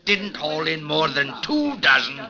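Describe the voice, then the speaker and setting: A man's voice: a little high, very animated, a little slow, crowd noise. An actor playing a weary, hard-drinking fisherman who grumbles, in an old radio drama. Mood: grumbling. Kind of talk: old-time radio acting.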